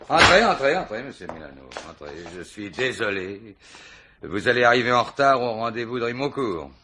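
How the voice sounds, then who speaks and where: A man's voice: mid-range voice, very animated, brisk, heavy background noise, close to an everyday voice. An actor playing a wily, insistent police commissioner in a French radio mystery, repeating his words with probing, mock polite courtesy. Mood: brisk. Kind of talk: French radio crime drama acting.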